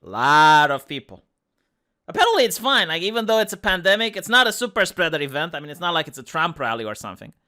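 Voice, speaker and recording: Squeaky woman with a Romanian accent. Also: big pitch swings, fast talker, bright, forceful tone. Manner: sarcastic, rambling, many restarts. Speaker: commentary channel host. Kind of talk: sarcastic political commentary.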